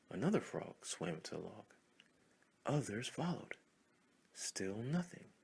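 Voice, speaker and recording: A man narrating a scripted children's story in a deliberate way, with dramatic pauses. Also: fairly low pitch, vocal fry, breathy edge.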